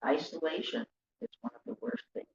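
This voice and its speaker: Male audience participant, fairly high voice, offering an answer, muffled sound. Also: a little fast, a little hiss or hum. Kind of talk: answering a speaker's question. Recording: echoey, muffled, picked up in a room.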